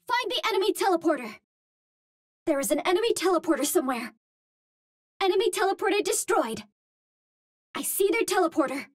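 A woman's voice: very high voice, sing-song, fairly quick, long pauses, dry recording, studio quality. A woman voicing a cute, earnest young Martian explorer in a video game, with a light girlish voice and polite, precisely worded phrasing. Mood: alert, businesslike. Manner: formal, precise wording without contractions, earnest and polite. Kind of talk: video game hero callouts.

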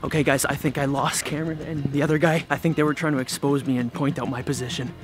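A young man with a rather high voice talks to his camera like an excited vlogger. His delivery is casual, animated and full of slang. Music plays clearly behind him. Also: quick pace. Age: young adult. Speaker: vlogger, host. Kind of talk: casual, excited vlog narration. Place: inside a large busy store. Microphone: handheld camera at arm's length.

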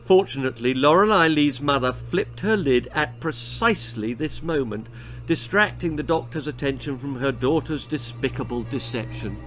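A man's voice: mid-range voice, expressive, moderate pace, a bit bright, soft background music, rough recording. Older English man reading a story dramatically. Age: 60s or older. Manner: dramatic, relishing delivery. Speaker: author reading his story. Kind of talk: expressive storybook narration. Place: small book-lined room indoors.

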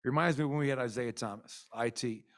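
Middle-aged male coach, postgame presser, candid and rambling, mid-pitched voice, desk mic in an arena press room. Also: a little fast, a bit bright. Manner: candid, rambling with many fillers. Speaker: basketball head coach. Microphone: desk mic in front.

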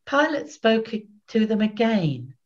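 A woman's voice: a little high, big pitch swings. An older woman narrating scripture solemnly from a script, phrase by phrase, over a video call at home. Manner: measured, phrase-by-phrase reading. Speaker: narrator, Evangelist. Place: small room at home. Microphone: computer video call. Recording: dull lo-fi video-call audio.

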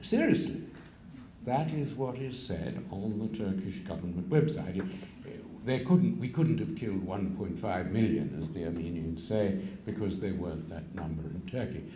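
Deep-voiced older man lecturing in a hall. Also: lively intonation, warm tone, a little hiss or hum. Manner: measured, with pauses and wry asides. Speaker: keynote speaker. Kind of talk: public lecture. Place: stage of a large hall. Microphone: stand mic at a lectern. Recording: echoey hall sound.

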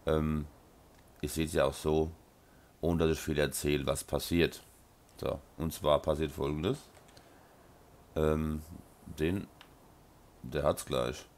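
A man with a Rhine-Hessian German accent, hoarse from a sore throat and blocked nose, casually commenting on his farming game and mumbling with many ums. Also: rumbling low, steady tone, takes it slowly, pauses often, darkish tone. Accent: Rhine-Hessian German dialect. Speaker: let's play host.

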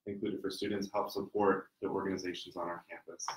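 A man from Ohio, an admissions counselor, explaining details in a steady way on a panel. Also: low-pitched, quick pace. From Cincinnati, Ohio. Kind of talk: informational panel talk. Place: meeting room with branded backdrop. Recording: echoey conference room.

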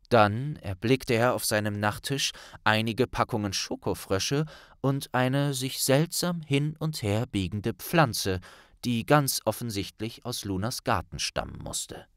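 A man's voice: lowish voice, a bit bright, no echo, clean studio sound. A man narrating a fantasy audiobook in a dramatic, scripted storytelling style.

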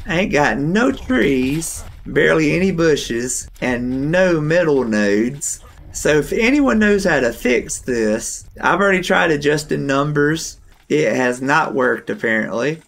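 Hoarse gravelly gaming host. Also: male voice, a little high, a bit bright. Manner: chatty, rambling, laughs now and then. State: hoarse, recovering from strep throat. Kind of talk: casual gameplay commentary.

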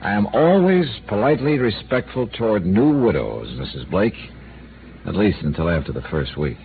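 Wry skeptical investigator, low voice, lively delivery, tinny old radio sound. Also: male voice, moderate pace, a little hiss or hum. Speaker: narrator and lead. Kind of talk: 1950s radio drama acting.

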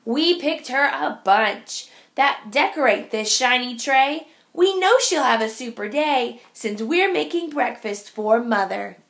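A woman with a high voice reads a picture book to small children. Her delivery is very slow and sing-song, with a noticeable creak in the voice. She places each phrase deliberately, as a teacher would. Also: lively intonation, fairly bright tone. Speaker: teacher reading aloud. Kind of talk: picture-book read-aloud for young children.

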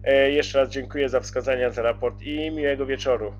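A man's voice: medium pitch, steady tone, brisk. Chatty man on dull radio audio. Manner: courteous, chatty, uses radio jargon. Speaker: amateur radio operator. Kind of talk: casual radio contact chat.

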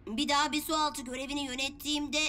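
A woman's voice: high-pitched, little pitch movement, fast talker, heavy background noise, close to an everyday voice. A woman voicing a brave, earnest little boy superhero in a children's cartoon, speaking in proclamations. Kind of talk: animated children's cartoon dubbing. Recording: loud music behind the voice.

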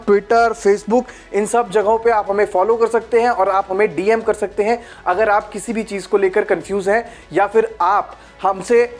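A young man hosting a how-to video, walking viewers through a website in a friendly, chatty way and often calling them friends. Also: high voice. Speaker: YouTube channel host. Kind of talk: informal tutorial walkthrough. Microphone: lapel mic. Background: faint background music.

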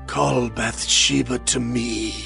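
Slow, gravelly old king. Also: medium pitch, fairly even intonation, bright, forceful tone, faint music behind, exaggerated voice. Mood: solemn, commanding. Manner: slow, halting, deliberate phrasing. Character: old, solemn, dying king issuing royal commands. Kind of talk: dramatized audio Bible acting.

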